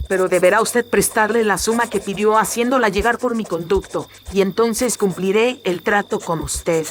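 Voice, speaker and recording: Man voicing an anxious young noblewoman, high filtered voice. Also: normal speed, slight background noise. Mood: anxious, desperate. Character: anxious, brave young noblewoman, devoted daughter. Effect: pitch-shifting voice filter. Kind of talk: Spanish-language horror radio drama acting.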